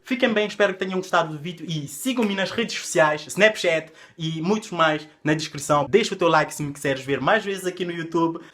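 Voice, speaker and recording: A man's voice: fairly high pitch, brisk, hardly any pauses, soft background music. A young man from Portugal with a European Portuguese accent, hosting a sarcastic comedy vlog. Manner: sarcastic, animated. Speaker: comedy vlogger.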